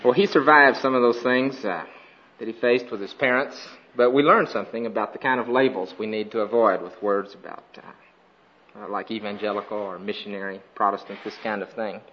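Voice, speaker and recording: A man with a somewhat low voice gives an earnest mission report to a conference audience. He talks steadily, pausing often on "uh". The recording sounds dull and lo-fi. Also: a little hiss or hum. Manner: earnest, steady, frequent "uh" pauses. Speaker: missionary speaker.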